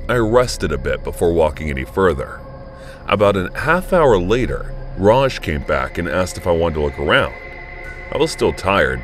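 A man narrating a first-person horror story in a studio voice-over, jumping up when indignant and going low and hushed in tense moments, over background music. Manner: expressive, pitch jumps up on indignant lines, drops low and quiet in tense moments.

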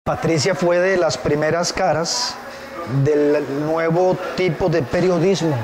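A man's voice: a little high, steady tone, measured pace. Man hosting a TV interview. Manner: conversational, prompting with dates and facts. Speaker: TV show host. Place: TV studio with stone backdrop. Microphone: lapel mic.

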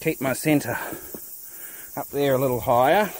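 A man's voice: mid-range voice, a little slow. A man in his thirties thinking aloud in an understated way, giving casual off-the-cuff commentary as an off-road vlogger. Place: outdoors on a forest dirt track. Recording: noisy outdoor handheld camera audio.

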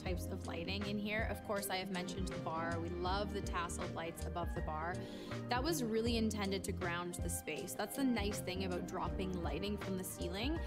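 Young woman designer, mid-pitched, smooth explainer, loud music bed underneath. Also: moderate pace. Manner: fluent, explanatory, uses "you know". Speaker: interior designer. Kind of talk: documentary interview. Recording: interview clip with loud background music.

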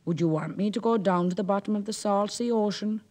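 A man voicing an excitable, nervous young fisherman who exclaims and frets. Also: sits high, a little fast, pitched above the performer's own voice, natural voice. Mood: excited. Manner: exclaiming, fretful.

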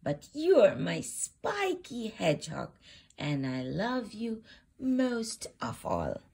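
A woman reading a children's storybook aloud as host, with expressive, deliberate phrasing meant for young children. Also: medium pitch, creaky, slightly rough.

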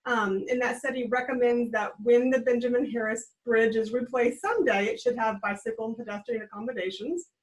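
A woman's voice: on the high side, brisk, few pauses, poor audio. Upbeat American woman on a video call. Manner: friendly, explanatory, upbeat. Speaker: guest, regional trail program director. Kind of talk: casual video-call interview. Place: indoors at home, small room. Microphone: webcam on a video call.

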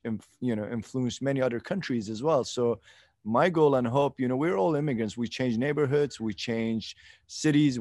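A man in his thirties from eastern Turkey, a film director answering interview questions on a video call, earnest and pausing to search for words. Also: medium pitch, a little mellow, dry recording, clean studio sound. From eastern Turkey, Kurdish region. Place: outdoors in a sunny garden. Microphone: video call laptop mic.

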